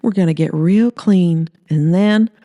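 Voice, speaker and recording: A woman's voice: lowish voice, unhurried, dark tone, natural voice. Woman putting on a feisty, flirty small-town gal.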